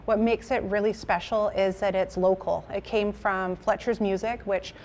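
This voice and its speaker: Woman curator, medium pitch, explanatory interview delivery, slight noise behind. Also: fairly even intonation, normal speed, a little mellow. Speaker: museum curator. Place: indoors at a museum.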